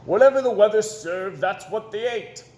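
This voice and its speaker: A man reading a children's story aloud in an expressive, animated storytelling style, with dramatic pauses and emphasis. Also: high-pitched. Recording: echoey room with background noise.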